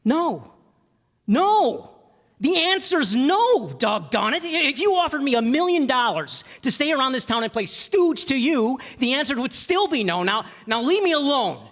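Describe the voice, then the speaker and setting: An actor playing an earnest, self-doubting young husband in a radio play, with a halting, folksy stammer. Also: male voice, very high-pitched, big pitch swings, fairly bright tone, heavily acted. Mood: indignant. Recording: echoey hall, stage reverb.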